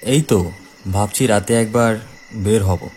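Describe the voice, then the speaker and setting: A man voicing an earnest, determined young city man with a serious, insistent manner in an animated horror story. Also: on the low side, measured pace, slight background noise, lightly acted. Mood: pensive.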